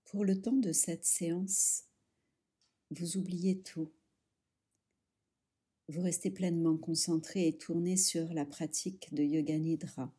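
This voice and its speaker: A woman guides a meditation in a soft, breathy voice that sits fairly low. She takes her time, leaving long pauses between short, soothing instructions, as a yoga nidra teacher does.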